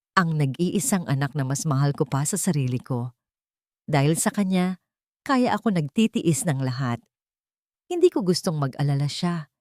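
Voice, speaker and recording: A woman's voice: fairly low pitch, a little mellow, no echo, studio quality. A woman narrating a dramatic first-person audio story in a solemn, sorrowful manner.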